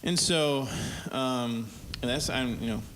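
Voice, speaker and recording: A man talking as a musician in a casual group conversation, thoughtful and hesitant, with a gravelly voice. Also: medium pitch, takes it slowly, slight background noise, rough recording. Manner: thoughtful, hesitant, soft-spoken. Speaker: musician guest.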